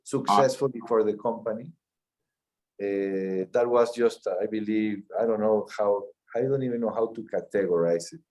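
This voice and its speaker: A middle-aged man from Peru with a Peruvian Spanish accent, answering interview questions in a measured way, often restarting and repeating words. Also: lowish voice, a little mellow, rough recording. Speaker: mining company CEO.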